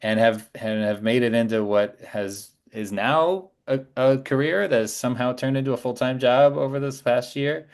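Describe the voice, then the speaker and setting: A man of about forty talking casually as a podcast guest, halting and reflective. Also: mid-range voice. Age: around 40. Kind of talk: casual interview chat.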